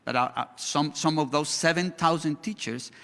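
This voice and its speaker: A male conference speaker who is not an English speaker, talking slowly in a halting way with many restarts as he presents to an audience and takes questions. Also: on the high side, vocal fry, fairly bright tone. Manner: slow, halting, frequent restarts. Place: large conference hall. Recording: hall reverb.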